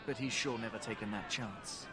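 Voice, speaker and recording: A man playing a gruff, scornful city guardsman in a fantasy video game, curt and dismissive. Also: on the low side, normal speed, natural voice. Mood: grudging. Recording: noisy outdoor crowd ambience.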